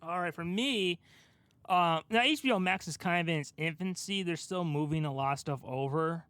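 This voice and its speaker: A man's voice: fairly high pitch, normal speed, fairly bright tone, smooth, dry recording. A man co-hosting a casual pop-culture chat, asking questions and giving his opinions in an informal way. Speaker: podcast co-host asking questions.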